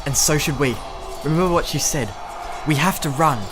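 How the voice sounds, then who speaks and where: An actress playing a loyal, urgent Munchkin guide in an audio drama, speaking in terse lines. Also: female voice, low voice, normal speed, close to an everyday voice. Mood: determined. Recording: loud music behind the voice.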